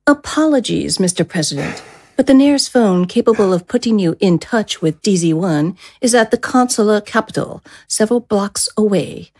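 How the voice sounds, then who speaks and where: A woman's voice: mid-range voice, animated, normal speed, a little mellow. A woman playing a formal, apologetic but unyielding attendant in an audio drama. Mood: apologetic, firm. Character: polite, unyielding female government attendant.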